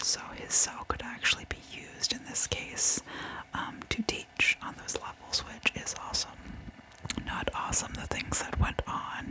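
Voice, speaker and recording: A woman whispering true crime ASMR as a host, breathy and gentle, speaking informally to her listeners. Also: rough recording.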